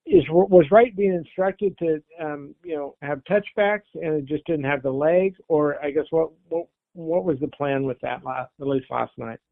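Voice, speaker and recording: A man's voice: on the high side, moderate pace, vocal fry, no room sound. A man, a reporter, asking hesitant, rambling questions on a press conference call. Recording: dry phone-line audio.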